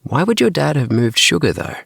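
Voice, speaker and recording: A man's voice: on the high side, big pitch swings, a little fast, gravelly, a bit bright, breathy edge, dry recording, clean studio sound, voice pushed up, heavily acted. A man voicing an inquisitive, cheeky schoolgirl who asks probing questions in a children's audiobook. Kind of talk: children's audiobook character dialogue.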